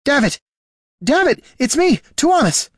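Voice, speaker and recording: Jittery young male soldier for fantasy audiobook; pitched way up, timid, dry close sound. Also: sing-song, moderate pace, a bit bright, dry recording, heavily acted. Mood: desperate pleading. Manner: nervous, high-pitched pleading. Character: timid, anxious young male mercenary underling.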